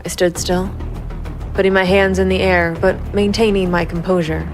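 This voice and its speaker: A woman's voice: fairly low pitch, level tone, normal speed, soft background music, lightly acted. A woman playing a cold, composed vigilante investigator, narrating a gritty noir crime audio drama in a controlled, stern way. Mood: cold, tense. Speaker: narrator and lead character.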